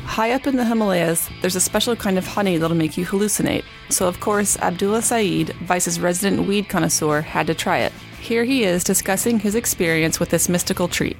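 Low gravelly woman hosting a podcast. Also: fairly quick, few pauses, soft background music. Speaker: podcast host, magazine editor. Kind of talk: scripted podcast narration.

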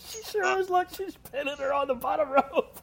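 A man's voice: very high voice, normal speed, gravelly, crowd noise. A man giving jokey, casual live commentary as he watches a wrestling match. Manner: jokey, frequently chuckling.

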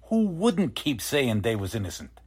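A man's voice: mid-range voice, huge pitch swings, normal speed, breathy edge. A man playing a cynical, streetwise newspaper photographer with slangy teasing talk in a 1940s-style radio drama. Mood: cynical, insistent.

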